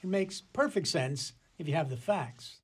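A man acts out a detective's witty sidekick in a radio comedy. The voice is notably high for a man, arch, sly and playfully teasing. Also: lively intonation, moderate pace, slightly rough. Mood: teasing, smug. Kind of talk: comedy whodunit radio play acting.

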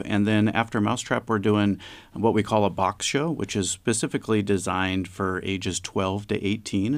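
A man with a deep, creaky voice gives conversational interview answers as a theater director. The recording is close and dry. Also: dry recording.

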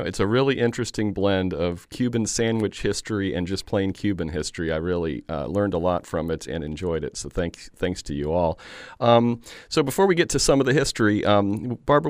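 A man hosting a public radio interview show, introducing his guests in a measured, friendly way. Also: sits low, animated, no echo, clean studio sound.

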